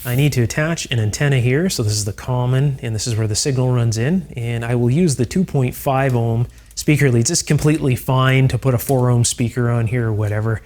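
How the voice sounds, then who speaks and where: A man hosting an electronics restoration video, explaining each step calmly and methodically, with frequent fillers. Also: mid-range voice, a little fast, hardly any pauses. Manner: calm, methodical, with fillers like "uh" and "you know". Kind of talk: hands-on technical explanation.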